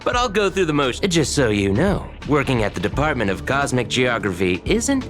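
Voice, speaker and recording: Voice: mid-range voice, sing-song, brisk, music in the background, close to an everyday voice. An actor playing a condescending, teasing research colleague in a video game, chatty and scoffing with exaggerated delivery. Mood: scornful, teasing. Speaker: researcher character. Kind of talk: animated video game dialogue.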